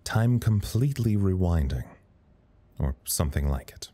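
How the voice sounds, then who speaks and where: Weary, very low-voiced male officer. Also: sing-song, normal speed, mellow. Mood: weary, dry. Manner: rambling, wry, self-deprecating. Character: weary, self-deprecating male communications officer at a secret facility. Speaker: communications officer. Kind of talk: audio drama recorded log monologue.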